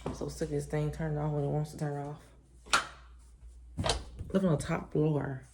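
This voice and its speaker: A sleepy woman with a deep voice, chatting to her camera at home in a rambling, reflective way. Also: flat delivery, moderate pace, vocal fry, heavy background noise. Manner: reflective, rambling, soft-spoken. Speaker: vlogger. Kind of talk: casual chat to camera. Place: top-floor apartment at home. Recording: echoey room.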